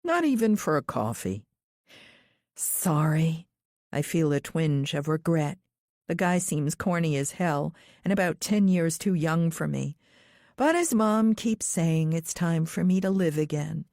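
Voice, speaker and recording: A woman's voice: low voice, unhurried, darkish tone, dry recording, clean studio sound. A woman reading a thriller novel aloud in the first person as scripted audiobook narration.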